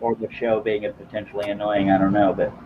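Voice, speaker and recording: Homme bavard, voix grave, son un peu étouffé. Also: male voice, on the low side, level tone, brisk, phone-quality sound, slight background noise. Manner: rambling, self-deprecating, stumbles and repeats words. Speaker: host of a woodworking live stream. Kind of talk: casual live-stream chat while working.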